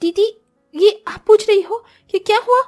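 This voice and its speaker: A woman voicing a poor, earnest young orphan sister in an expressive style for a cartoon moral story. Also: extremely high pitch, a little slow, faint music behind. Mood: exasperated.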